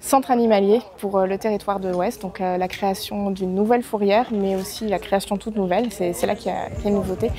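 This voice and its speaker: A woman's voice: medium pitch, steady tone, fast, hardly any pauses, mellow. A woman acting as a project spokesperson, explaining things to the press hesitantly and correcting herself.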